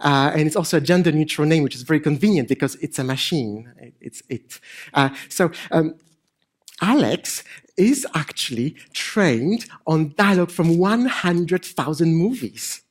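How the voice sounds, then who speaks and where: A middle-aged man with a French accent and a hint of Polish, giving an informal stage talk in a hesitant, deadpan joking way. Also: on the high side, normal speed. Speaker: conference speaker, AI researcher and improviser. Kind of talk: informal stage presentation with dry humour. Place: on stage at a conference.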